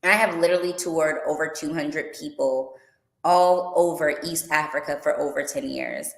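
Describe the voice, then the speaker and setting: A low-pitched woman talks to her viewers in a chatty, upbeat way, full of fillers. Her voice rings in an echoey room, picked up by a livestream mic. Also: fairly even intonation, moderate pace, creaky. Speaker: tour organizer and boutique owner. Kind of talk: casual livestream Q and A.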